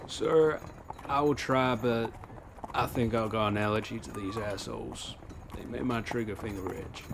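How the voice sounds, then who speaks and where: A man's voice: lowish voice, fairly even intonation, moderate pace, background noise, natural voice. A man playing a wry, trigger-happy young posse member in a western audio drama, with a dry, understated manner. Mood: wry, itching.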